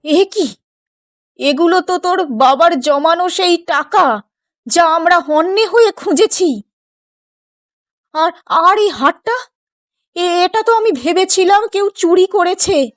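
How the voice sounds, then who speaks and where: Slow, high-voiced weary village mother, played by a woman. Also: animated, exaggerated voice. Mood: astonished. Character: weary, hard-pressed village mother torn by poverty. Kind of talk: Bengali moral-story cartoon voice acting. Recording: music bed behind the voice.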